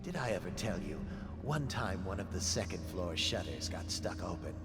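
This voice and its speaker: A seasoned brother of a stern religious order in a game. His voice is low and his phrasing measured and formal, with music clearly heard behind it. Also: expressive. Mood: conversational. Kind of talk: video game voice acting.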